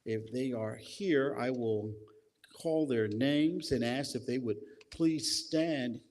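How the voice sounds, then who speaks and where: A man serving as emcee at a community reception, announcing guests and calling names slowly. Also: medium pitch, a little mellow. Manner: announcing names, slow and deliberate. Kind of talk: emceeing a public program.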